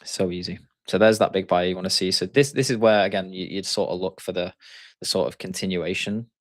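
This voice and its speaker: A man's voice: low voice, steady tone, a little fast, no room sound. A man with a Yorkshire accent, hosting a live trading stream and thinking aloud as he explains charts. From Yorkshire, England. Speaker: trading coach and stream host.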